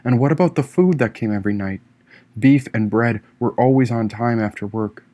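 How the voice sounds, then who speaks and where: A man reading a first-person story as an audiobook narrator, expressive and theatrical in his delivery. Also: medium pitch, moderate pace. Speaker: author reading own audiobook.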